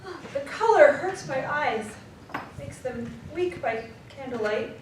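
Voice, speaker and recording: An actress playing a gentle, sorrowing mother in a live radio drama, restrained in manner. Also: high voice, animated, slow pace. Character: Mrs. Cratchit, gentle sorrowing mother hiding tears. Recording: echoey live stage, distant mic, faint noise.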